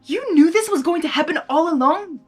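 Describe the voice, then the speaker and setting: A woman's voice: extremely high pitch, lively intonation, fairly quick, a little mellow, soft background music, pitched above the performer's own voice. Woman voicing a brash, theatrical male chaos creature. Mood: accusing, indignant.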